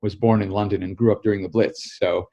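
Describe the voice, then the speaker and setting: Low mellow American man explaining politics. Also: fairly even intonation, creaky. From South Africa, raised in the United States. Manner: measured, explanatory, building long arguments. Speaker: guest, editor and author. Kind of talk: political podcast interview.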